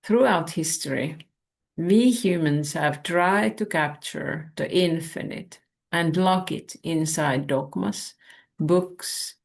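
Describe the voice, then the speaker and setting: A woman whose first language is Finnish, hosting a spiritual channel and speaking in a calm, measured, contemplative way. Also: deep voice, gravelly. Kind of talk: reflective spiritual talk.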